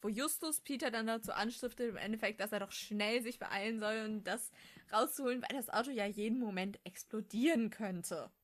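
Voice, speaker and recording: A woman's voice: a little high, fairly quick. A woman co-hosting a podcast, recapping and chatting in a casual way. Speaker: podcast co-host.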